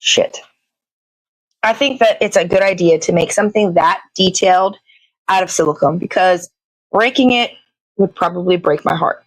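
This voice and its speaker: A woman's voice: lowish voice, normal speed, pauses often, no echo, poor audio. A woman hosting a casual livestream, chatting in a meandering way, often searching for words and swearing casually. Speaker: live show host. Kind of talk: casual rambling livestream chat.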